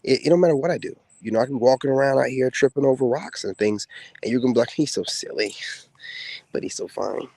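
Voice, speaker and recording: A soft-spoken man, a former soldier, talks casually to the camera to his women viewers in a relaxed, drawling way with many fillers. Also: medium pitch, quick pace, vocal fry, slightly rough, dry recording. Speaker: content creator speaking to women viewers. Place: outdoors.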